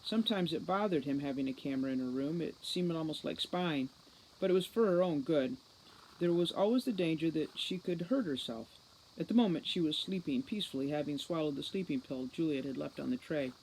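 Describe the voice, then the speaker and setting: A woman narrating a novel aloud as a storybook reader, in an expressive style. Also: deep voice, fairly quick, slight background noise, poor audio. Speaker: audiobook reader.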